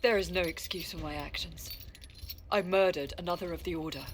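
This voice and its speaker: An actress playing a stoic, remorseful senior warrior in a video game, speaking gravely and formally. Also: female voice, on the low side, fairly even intonation, brisk, a little hiss or hum, close to an everyday voice, low-quality recording.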